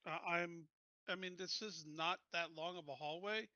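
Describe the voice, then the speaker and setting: A man with a very bright, sharp-edged voice, pitched a little high, plays a tabletop game. He talks casually but insistently as he explains his moves, close and dry on the mic. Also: moderate pace, no echo, dull audio. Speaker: player in a tabletop roleplaying game.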